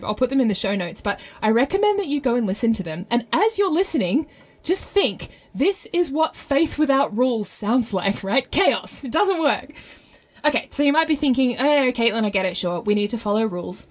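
Female podcast host, slightly high voice, informal explainer delivery, animated and conversational. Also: fairly quick. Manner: conversational, animated storytelling with rhetorical check-ins. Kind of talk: casual explanatory podcast monologue.

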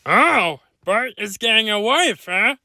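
Woman playing male cartoon barfly. Gravelly creak, slow drawn-out delivery. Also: a little high, animated, sharp tone, no room sound, clean studio sound, heavily acted. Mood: eager. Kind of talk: fan-made cartoon voice acting.

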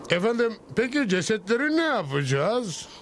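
A man's voice: high voice, very animated, moderate pace, fairly bright tone, faint music behind, heavily acted. A man voicing a blunt, matter-of-fact ageing prison guard in a cartoon dub. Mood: wry. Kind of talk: Turkish-dubbed cartoon acting.

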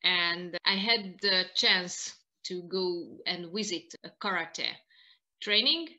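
A woman in her thirties with a Hungarian accent, answering interview questions in halting non-native English on a video call. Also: on the low side, pushed and bright. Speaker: interview guest, martial arts practitioner. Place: small living room indoors, on a video call.

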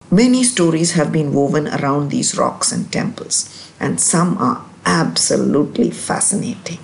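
A woman narrating a travel vlog voice-over in a measured, explanatory way. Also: deep voice, creaky, slight background noise.

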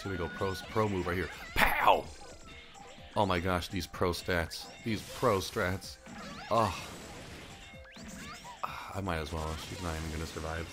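A young man whose first language is Japanese, chatting casually and mocking himself while he plays a puzzle game for his channel. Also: lowish voice. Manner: rambling, self-mocking, chatty. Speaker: gaming channel host. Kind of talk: casual gameplay commentary.

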